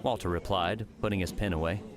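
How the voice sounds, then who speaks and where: A man's voice: deep voice, fairly even intonation, brisk, crowd noise. A man reading scripted third-person story narration as an audiobook narrator.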